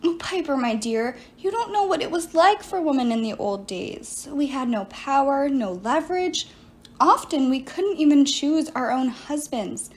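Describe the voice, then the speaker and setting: A woman's voice: high-pitched, voice pushed up. A woman voicing a scheming, vengeful ancient sorceress in an audiobook, theatrical and coaxing. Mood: plaintive, self-justifying. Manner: coaxing, self-pitying, theatrical. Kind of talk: audiobook character voice.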